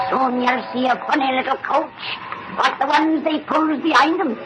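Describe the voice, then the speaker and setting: An ancient, gleefully wicked witch spins tales in rustic dialect, cackling as she goes. Her delivery is slow and high. It comes through a muffled, noisy old radio recording. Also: steady tone. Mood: gleefully sinister. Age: older. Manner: rustic old-fashioned dialect, relishing the macabre. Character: cackling 117-year-old witch storyteller, gleefully sinister. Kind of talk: 1930s radio horror acting.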